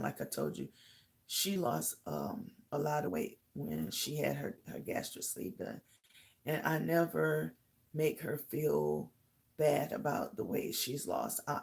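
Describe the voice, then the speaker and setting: Slow, creaky middle-aged woman, low-pitched chat. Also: a little mellow. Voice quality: slightly raspy, creaky. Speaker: host of a livestream talk channel. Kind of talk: casual chat to live viewers.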